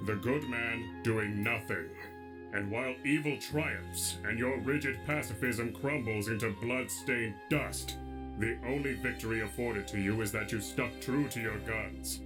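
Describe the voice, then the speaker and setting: A man's voice: mid-range voice, close to an everyday voice. A man voicing a stern, sardonic android who lectures in an eloquent, scolding way in a parody anime dub. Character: blunt, scolding male android, a disembodied head. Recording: loud music over the voice. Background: loud guitar music.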